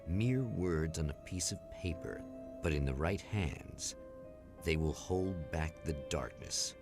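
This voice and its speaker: Very deep gravelly ominous narrator. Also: male voice, expressive, exaggerated voice. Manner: grave, portentous, deliberate. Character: ominous male narrator of an eerie TV anthology show. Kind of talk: scripted narration in the manner of a vintage eerie anthology TV show. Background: music.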